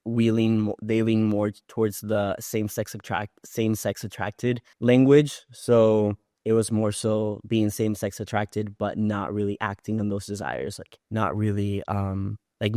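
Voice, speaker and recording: A man's voice: lowish voice, mellow, dry recording, studio quality. A man from Puerto Rico talking as a podcast guest, telling his personal story in a reflective, conversational way, often rephrasing himself.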